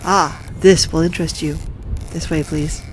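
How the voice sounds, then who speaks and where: A woman playing an authoritative, coolly persuasive occupation official in a science fiction audio drama, formal and measured. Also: deep voice, steady tone, a little hiss or hum, close to an everyday voice, rough recording. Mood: smooth, self-assured.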